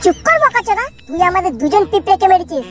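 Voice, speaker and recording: A man voicing bullying, greedy little cartoon ants with a West Bengali accent, pushy and crude, in a pitched-up voice. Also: lively intonation, quick pace, music in the background. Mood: demanding. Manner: pushy, demanding. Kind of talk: crude comedy cartoon dialogue.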